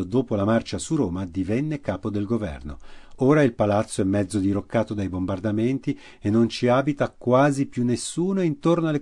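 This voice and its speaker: A man with an Italian accent giving a measured, dramatic historical narration for radio theatre, slowing and dropping his voice for emphasis. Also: fairly low pitch, dark tone. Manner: measured, slows and drops for emphasis. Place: radio studio.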